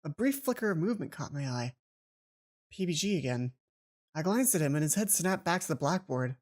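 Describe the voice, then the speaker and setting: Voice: mid-range voice, very animated, brisk, darkish tone. A let's play host reading a visual novel aloud with casual commentary, stumbling over words and interrupting the narration.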